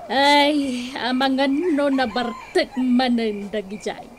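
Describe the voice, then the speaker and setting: A woman's voice: high-pitched, steady tone, slow. A woman playing an exasperated village wife, complaining dramatically in a radio comedy drama. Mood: fretting. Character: exasperated village wife fretting over drunk old husband. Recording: loud music over radio drama.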